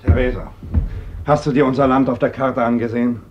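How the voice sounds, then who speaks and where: An actor playing a determined, blunt fighter in a radio drama, arguing insistently. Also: male voice, mid-range voice, flat delivery, a little fast, natural voice. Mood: insistent, grim. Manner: insistent, argumentative. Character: determined, blunt male fighter pressing his relative. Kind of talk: classic German radio drama acting. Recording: dull, faintly noisy old radio recording.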